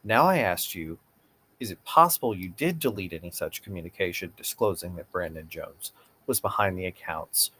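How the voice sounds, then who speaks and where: A man's voice: low voice, expressive, normal speed, fairly bright tone, slightly rough, exaggerated voice. A man playing a persistent, formal lawyer questioning an evasive witness in a read-aloud deposition. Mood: insistent.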